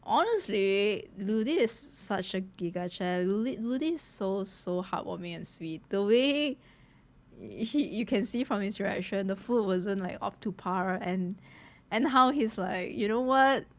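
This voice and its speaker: A woman streamer reacts casually to what she watches. She gushes and talks in loose slang, addressing her viewers like friends, in a mid-pitched voice. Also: expressive, unhurried. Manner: gushing, slangy, addresses viewers as guys and bro. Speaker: streamer reacting to a game trailer.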